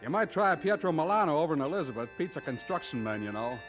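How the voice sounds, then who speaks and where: A man playing a brisk, plain-spoken work foreman in an old radio drama. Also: fairly high pitch, big pitch swings, faint music behind. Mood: regretful. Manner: brisk, matter-of-fact.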